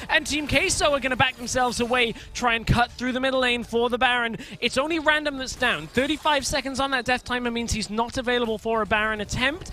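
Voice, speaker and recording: A man doing fast, energetic play-by-play esports commentary. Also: very high voice. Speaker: esports caster.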